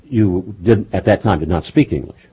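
Deep, raspy, dark-voiced male interviewer. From Houston. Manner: halting, frequent uh fillers. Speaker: interview host. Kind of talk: interview questions. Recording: dull lo-fi recording.

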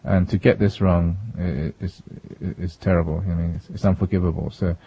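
Husky-toned soft-spoken author, dry lo-fi. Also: male voice, sits low, fairly even intonation, brisk, no echo. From Japan, raised in England. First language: Japanese. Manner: thoughtful, many restarts and self-corrections. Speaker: author guest. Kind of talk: reflective interview answers. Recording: dull lo-fi recording.

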